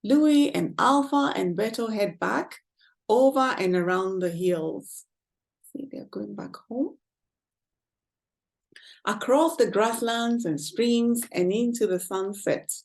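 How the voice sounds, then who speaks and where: A woman tells a children's story in a husky, breathy voice. She takes her time with the phrases and reads expressively, turning to the young listeners as a storyteller does. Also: fairly high pitch. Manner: expressive, pausing to ask listeners questions. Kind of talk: read-aloud picture book narration for children.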